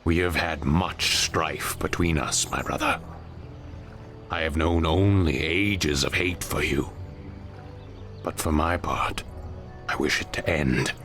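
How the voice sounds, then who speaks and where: Low-pitched male brooding outcast warrior, proud, earnest, solemn, dramatic game dialogue. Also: slow pace, long pauses, fairly bright tone, faint music behind, exaggerated voice. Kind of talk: video game cutscene voice acting.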